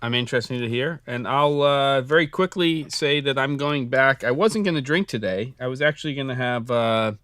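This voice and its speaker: Casting: male co-host, smooth clear voice, dry close sound, loose rambling chat. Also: mid-range voice, normal speed, few pauses, fairly bright tone, dry recording. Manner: chatty, rambling, laughs often. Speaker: podcast co-host. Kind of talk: casual chat.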